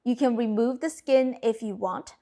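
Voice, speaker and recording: A woman in her thirties whose first language is Cantonese, narrating a cooking lesson with clear step-by-step instructions. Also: on the high side, moderate pace, dark tone. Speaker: cooking channel host. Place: home kitchen.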